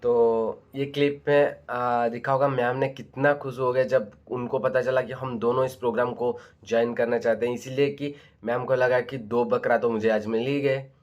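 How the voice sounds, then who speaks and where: A man's voice: mid-range voice, level tone, moderate pace, few pauses, a little mellow. A man giving informal exposé commentary to viewers as a vlogger, often checking in with his listeners. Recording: dull lo-fi audio.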